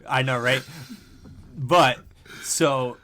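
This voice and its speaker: Male podcast co-host, bright forceful tone, casual slangy delivery, swears freely, breaks into laughs. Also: mid-range voice, steady tone, drawn-out words. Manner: laughs often while talking. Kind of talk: casual chat, animated fan retelling.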